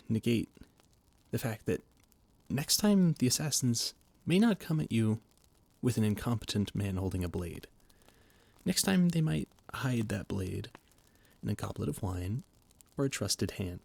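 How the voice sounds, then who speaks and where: A man's voice: on the low side, gravelly, a little mellow, slightly rough, no echo, exaggerated voice. A young man playing an anxious, loyal royal advisor who stammers and speaks formally. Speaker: voice actor in an audio roleplay. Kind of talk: scripted audio roleplay.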